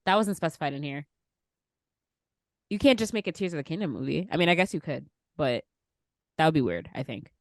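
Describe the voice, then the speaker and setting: Animated, low-pitched woman co-host. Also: a little fast, pauses often, no echo, studio quality. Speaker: podcast host. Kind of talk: casual podcast chat about pop culture.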